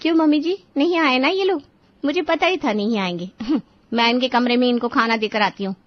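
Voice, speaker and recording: A woman voicing an overworked, complaining young daughter-in-law in an animated story. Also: high-pitched, fairly quick, no room sound, lo-fi sound, voice pushed up, natural voice. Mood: plaintive. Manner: plaintive, respectful to her elder.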